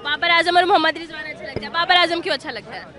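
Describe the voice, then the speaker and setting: A young woman interviews people on a busy street at night, firing off quick, upbeat questions. Her voice is high and bright. A crowd murmurs behind her. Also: steady tone. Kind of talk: street vox-pop interview. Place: outdoors at night among a crowd. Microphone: handheld mic.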